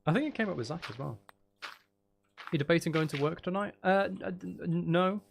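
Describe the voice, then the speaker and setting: A man's voice: high-pitched, fairly quick, vocal fry. A man casually chatting on a live game stream, musing aloud and talking to viewers as he plays. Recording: faint background noise.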